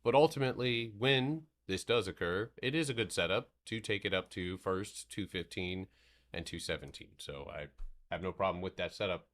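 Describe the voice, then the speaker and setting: A man with a very low, soothing voice hosts a live stock trading stream. He rambles in a loose, casual way and swears freely as he walks through the charts. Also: normal speed, a bit bright.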